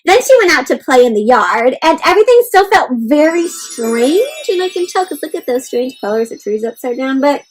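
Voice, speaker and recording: A woman's voice: sits high, sing-song, normal speed, hardly any pauses, noisy background. A woman hosting an interactive children's picture-book read-aloud, speaking expressively and encouragingly in simple phrases and putting questions to her young listeners.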